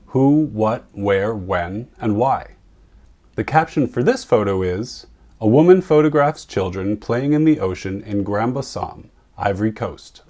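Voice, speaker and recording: A man who works as a photographer reads a scripted lesson on writing captions, explaining each point clearly and at a measured pace. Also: lowish voice, a little mellow. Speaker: photographer and presenter.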